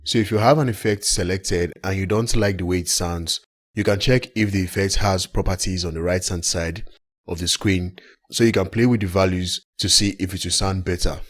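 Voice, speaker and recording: A man with a deep, husky voice narrates a software tutorial. He explains each step plainly, as an instructor would over a screen recording. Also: steady tone, moderate pace. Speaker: tech tutorial presenter.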